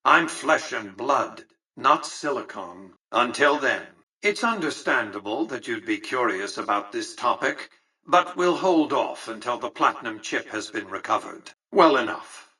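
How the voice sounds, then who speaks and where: An imperious, ancient tycoon from a video game. His voice is forceful and sharp-edged with a husky grain, heard from slightly off the mic. He speaks formally and precisely, with a pompous, condescending air of cold calculation. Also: medium pitch, lively intonation, measured pace, recorded from a distance, full character voice, rough recording. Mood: measured, aloof. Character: imperious, coldly calculating, centuries-old male business tycoon. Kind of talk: scripted video game character dialogue.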